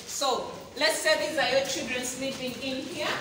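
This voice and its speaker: A young woman with a Ugandan accent and a bright, high voice walks a viewer through bare concrete rooms, pointing things out eagerly. She is recorded by a phone from a few steps away, so her voice echoes hard off the empty walls. A steady wash of site noise sits under her. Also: moderate pace, far from the mic. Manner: enthusiastic, showing rooms with wide gestures. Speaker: property sales guide. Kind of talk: walking property tour. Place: unfinished concrete apartment building, indoors and outdoors. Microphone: phone camera held by someone following her, at a distance.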